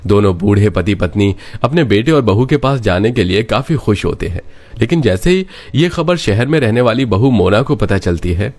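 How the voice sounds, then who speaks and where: A man's voice: on the low side, expressive, normal speed, a little mellow. A man reading scripted narration as the storyteller.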